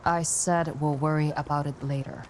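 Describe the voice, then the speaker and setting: An actress playing a guarded, protective young warrior and bossy older sister in a video game, speaking curtly and with command. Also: female voice, sits low, fairly even intonation, moderate pace, a little mellow, slight background noise. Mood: curt, exasperated. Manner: curt, exasperated, commanding. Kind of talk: video game cutscene acting.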